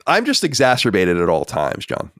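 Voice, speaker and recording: A low-pitched man in his forties, talking in a lively, swinging voice as he co-hosts a casual podcast. He reads listener letters aloud and answers them bluntly, sighing and swearing along the way. The sound is dry and close, with no room echo. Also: moderate pace. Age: 40s. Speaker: podcast co-host reading listener mail. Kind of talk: casual chat.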